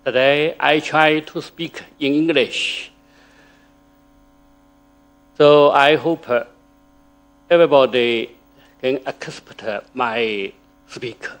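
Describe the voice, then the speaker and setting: A man whose first language is Chinese, giving a formal academic talk in a lecture hall, slow and halting with pauses and fillers. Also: mid-range voice, a bit bright. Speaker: conference speaker.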